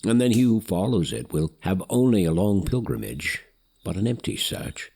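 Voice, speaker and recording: An actor playing a frail, wise old father in an audio drama, gently encouraging, with halting, solemn, archaic speech and a husky, breathy voice. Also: low voice, very animated, heavily acted. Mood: hesitant, pondering. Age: older.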